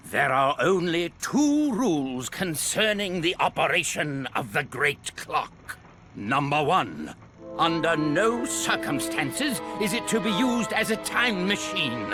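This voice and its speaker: A bumbling old scientist hosting a welcome recording, voice high and sing-song, leaping up and down with delight. He talks unhurriedly, with fillers and little chuckles, over a clear game score. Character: old male scientist host, genial and rambling. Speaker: host of an instructional recording. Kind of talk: video game cutscene acting. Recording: game score playing behind.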